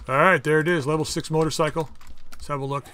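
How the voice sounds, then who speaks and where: A man hosting a casual game playthrough, chatting and thinking aloud as he crafts items, with his voice going a bit hoarse. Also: on the high side, fairly even intonation, quick pace, a bit bright. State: losing his voice. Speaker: gaming channel host. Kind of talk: casual let's-play commentary.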